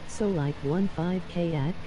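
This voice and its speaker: A woman's voice: lowish voice, normal speed, dark tone, lo-fi sound. A woman's text-to-speech voice reading out short livestream chat messages. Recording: clear background noise.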